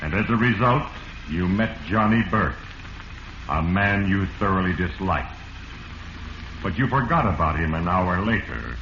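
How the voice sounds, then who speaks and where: The sinister male voice of Fate in a vintage radio mystery. He is deep and echoing, speaking with grave, portentous judgment straight to the guilty. The recording is worn and noisy. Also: fairly bright tone, muffled recording. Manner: grave, portentous, addresses the culprit directly. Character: stern, sinister voice of Fate, all-knowing accuser. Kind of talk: 1940s radio narration.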